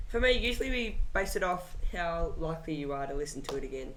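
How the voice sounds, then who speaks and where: A man's voice: a little high, lively intonation, fast, bright, forceful tone, slight background noise. A male podcast co-host speaking in casual chat.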